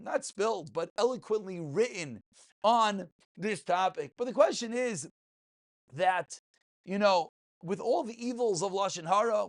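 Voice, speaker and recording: A man teaches a religious class as a rabbi. His pitch swings widely as he stresses key words and repeats them for emphasis. He speaks informally and keeps putting questions to his listeners. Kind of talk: informal Talmud and ethics lecture.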